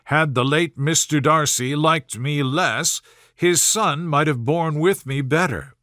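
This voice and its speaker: A man voicing an aggrieved young Regency gentleman in a storybook, smooth-talking and formal, with measured, wounded dignity. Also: fairly high pitch, dry recording, clean studio sound. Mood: confiding, resentful. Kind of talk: storybook character voice in audiobook reading.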